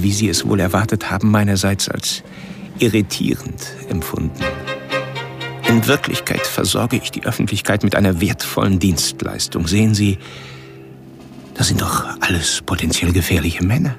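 An actor playing a mocking, articulate serial killer who addresses a policewoman formally and theatrically in a radio play. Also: sits low. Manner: formal, sardonic, theatrical, punctuated by whispery drops. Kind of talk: radio play monologue as a recorded message. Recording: music under the voice.

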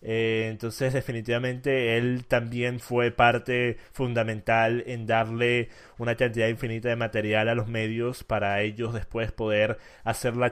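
A man from Venezuela hosting a debate, arguing assertively and emphatically. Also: mid-range voice. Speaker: debate host. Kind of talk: casual debate.

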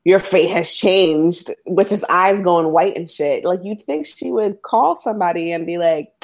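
A young woman with a slightly low voice muses through a TV episode in lively, casual talk. She pieces her thoughts together aloud, leaning on "like" between phrases. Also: measured pace. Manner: animated, thinking aloud, frequent "like" fillers. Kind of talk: casual chat about a TV episode.

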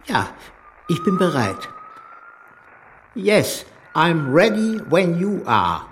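Slow, halting, ceremonious old Pope. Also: male voice, fairly high pitch, very animated, fairly bright tone, slight background noise, heavily acted. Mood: solemn, halting. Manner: halting, ceremonious, switching between languages. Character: elderly Pope, halting, ceremonious, addressing astronauts. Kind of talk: radio play acting.

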